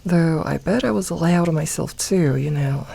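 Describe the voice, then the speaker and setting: A woman voicing a gentle, comforting young werewolf in a soft ASMR roleplay, talking intimately and reassuringly to one listener with light humour. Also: low-pitched, measured pace, gravelly, slightly rough, full character voice. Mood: fond, reflective. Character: gentle, comforting young female werewolf, playful self-styled lone wolf.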